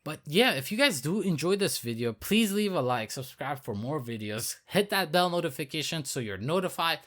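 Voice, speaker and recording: A hoarse man who is losing his voice, giving casual, rambling commentary on a game update as a video creator. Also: fairly high pitch, animated, moderate pace, a bit bright. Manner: rambling, filler-heavy, repeats himself.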